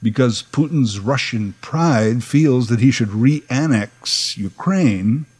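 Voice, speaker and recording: A man's voice: mid-range voice, lively intonation, slow, darkish tone, breathy edge, no room sound. A middle-aged man, giving a sardonic, opinionated podcast monologue. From Canada. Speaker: podcast host.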